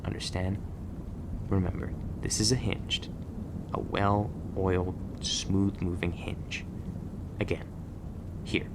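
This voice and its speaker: Male actor as guarded agent mentor, low voice, terse and stern, ASMR roleplay, noisy rumbling ambience. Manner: terse, stern instructing, stammers when flustered. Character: gruff, guarded male agent training a rookie knife thrower.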